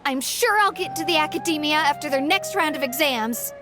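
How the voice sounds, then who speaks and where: A woman's voice: high-pitched, a little fast, over music, close to an everyday voice. A woman voicing an earnest, eager young woman who loves ship engineering, in a video game.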